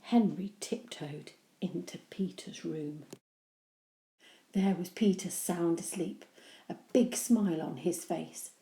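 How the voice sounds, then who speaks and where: A woman reading a children's story aloud with animated, deliberate, expressive narration. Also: on the low side. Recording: echoey room.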